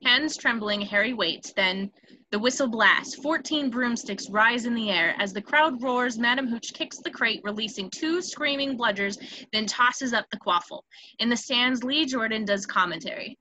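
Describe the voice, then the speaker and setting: A woman narrates screenplay action and scene headings. Her voice is on the high side and crisp-edged, with a bright, forceful delivery suited to scripted narration. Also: normal speed, slight background noise, rough recording. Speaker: stage directions narrator.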